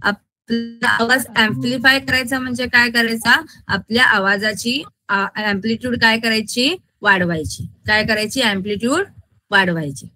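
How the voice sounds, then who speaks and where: Woman teacher, Maharashtrian accent, very bright tone, raised pitch, explanatory, question-and-answer lecture style. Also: moderate pace, no room sound, faint music behind, low-quality recording. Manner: explanatory, repeats rhetorical check questions. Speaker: school science teacher. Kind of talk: interactive classroom lecture mixing Marathi and English terms.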